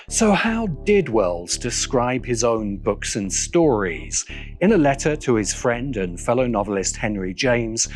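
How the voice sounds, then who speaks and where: Man narrating an essay with lively, swooping pitch.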